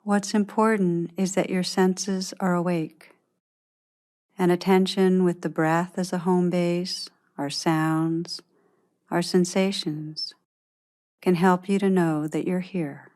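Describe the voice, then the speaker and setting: Slow, low-pitched woman guiding meditation. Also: little pitch movement. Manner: gentle, unhurried, soothing instruction with long pauses. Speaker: meditation teacher guiding a practice. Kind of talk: guided meditation, scripted instruction.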